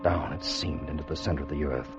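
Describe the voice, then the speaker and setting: A man acting a weary, fearful time traveler in 1950s radio drama, narrating his ordeal in a grave, measured, voice. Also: rumbling low, fairly even intonation. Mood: grim, haunted. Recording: muffled old radio broadcast with music.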